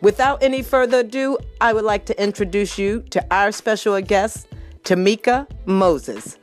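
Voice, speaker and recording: A woman host reading a scripted introduction to her show in a measured, formal way. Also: medium pitch, soft background music. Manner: measured, formal read delivery.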